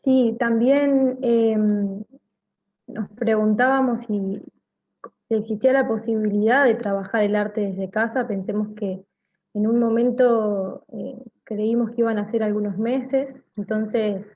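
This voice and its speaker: A woman with an Argentine accent speaking hesitantly, with frequent pauses, in an informal online talk over lo-fi call audio. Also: medium pitch, fairly even intonation. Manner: hesitant, pausing often. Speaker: theatre group member giving a talk.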